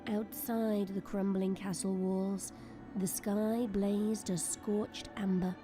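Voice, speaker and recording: A woman's voice: medium pitch, flat delivery, takes it slowly. A woman reading scripted, dramatic story narration as the narrator of an audio drama. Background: soft music.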